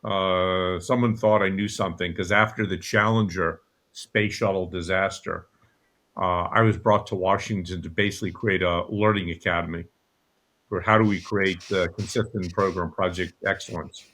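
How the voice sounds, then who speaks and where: Older male guest, slightly low voice, conversational, halting reminiscence on an online call. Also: fairly even intonation, fairly bright tone.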